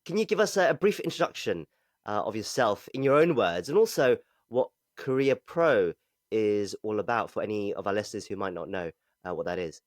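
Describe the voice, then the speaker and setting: A man hosting a politics podcast, reading a measured scripted intro, then interviewing a guest. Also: on the low side, animated, no echo, clean studio sound. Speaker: podcast host. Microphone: video call.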